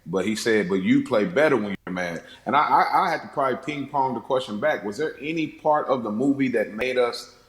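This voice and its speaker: A man's voice: mid-range voice, expressive, a little fast. Man talking films in an echoey room. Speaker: podcast panelist. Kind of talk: casual film discussion.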